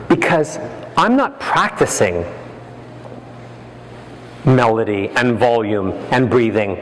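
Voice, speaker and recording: A man giving a motivational keynote as a voice coach, animated and playful, acting out examples with his voice. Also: a little high, a little hiss or hum. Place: large hall. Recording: echoey hall, faint ambience.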